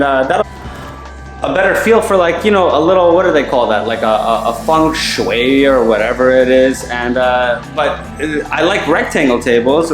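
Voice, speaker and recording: A man from Afghanistan walks through a home and talks loosely and emphatically, restarting his sentences as he goes. His voice bounces around the bare, echoey rooms, and faint music plays underneath. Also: a little high. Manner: rambling and emphatic, with frequent restarts and mild swearing. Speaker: mortgage broker giving a home tour. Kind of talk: casual walkthrough vlog. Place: empty furnished house indoors.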